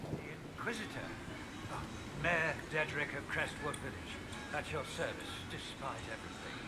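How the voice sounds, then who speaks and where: Anxious, high-voiced village mayor played by a man. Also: moderate pace, lightly acted. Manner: flustered, deferential. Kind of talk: video game cutscene acting. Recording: loud outdoor background noise.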